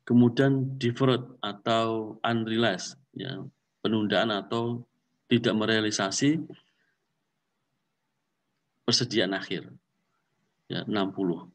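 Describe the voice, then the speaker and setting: A man with a fairly low voice gives a careful, methodical accounting lecture. He goes through the numbers step by step and repeats key figures and terms. He sounds a little far from the microphone. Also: takes it slowly, long pauses.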